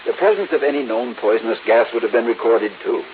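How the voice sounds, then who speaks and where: An actor playing an agitated, baffled scientist in an old-time radio drama, earnest and emphatic, halting and repeating his phrases. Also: medium pitch, animated, lightly acted. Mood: shaken, insistent. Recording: very noisy, tinny old radio recording.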